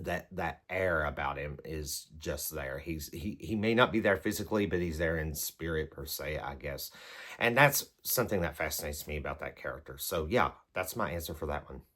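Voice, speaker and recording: A man's voice: sits low, fairly bright tone. A man vlogging as a book reviewer, talking casually to camera in a rambling, hesitant way as he works through prompts. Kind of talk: casual chat to camera, answering numbered prompts.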